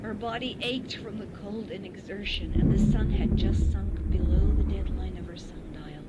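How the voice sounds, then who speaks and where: A woman's voice: mid-range voice, steady tone, moderate pace. A woman reading a horror story as a narrator, in a dramatic scripted delivery. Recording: muffled recording with heavy noise.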